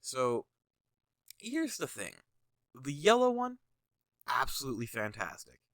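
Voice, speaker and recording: A man's voice: mid-range voice, big pitch swings, a little slow, fairly bright tone, dry recording, clean studio sound. A young man with a stuffy nose, talking casually and rambling with lots of fillers as he reviews his own jersey designs. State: stuffy nose, sniffling, tired. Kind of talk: casual commentary over design images.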